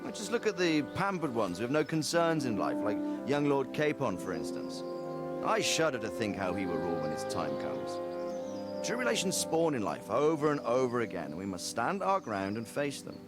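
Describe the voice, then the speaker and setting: A commanding, fatherly lord played by a man in a medieval game. He speaks reflectively with very varied pitch at a middling height, over prominent music. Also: big pitch swings, moderate pace, natural voice. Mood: reflective, grave. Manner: reflective, authoritative. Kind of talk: video game voice acting.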